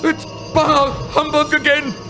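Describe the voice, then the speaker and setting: A man playing a grumbling, miserly old moneylender in a live radio play, gruff and scoffing. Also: very high-pitched, completely flat delivery, unhurried, over music. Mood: frightened shouting. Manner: gruff, scoffing, snapping out bits.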